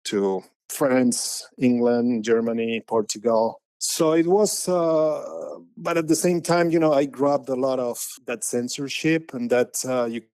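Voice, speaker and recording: Spanish guy in his 60s, painter, rambly with lots of uh's, mid pitch, dry close sound. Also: flat delivery, measured pace, hardly any pauses, darkish tone, smooth, no room sound. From Spain. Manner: rambling, many fillers and restarts. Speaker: painter, podcast guest. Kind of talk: casual interview.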